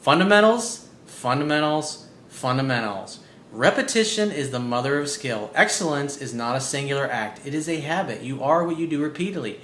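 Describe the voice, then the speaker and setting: A man working as a dating coach, giving blunt, profane advice as he reads out listener emails. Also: moderate pace. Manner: blunt and profane, animated, repeats key points. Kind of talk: casual advice monologue reading out emails. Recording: roomy echo with faint low rumble.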